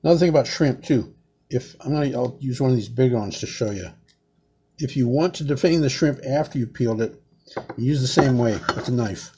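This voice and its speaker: A man's voice: mid-range voice, a little fast, darkish tone, slightly rough. A man in his sixties chatting casually in his home kitchen as he peels shrimp, rambling and saying "man" a lot. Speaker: home cooking vlogger. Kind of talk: casual cooking demonstration. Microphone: camera close on a table.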